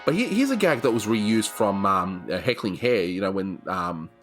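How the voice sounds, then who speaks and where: Low-voiced man reviewing old cartoons. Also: sing-song, normal speed, music in the background. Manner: chatty and analytical, frequent fillers and restarts. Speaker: animation review host. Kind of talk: casual cartoon review commentary.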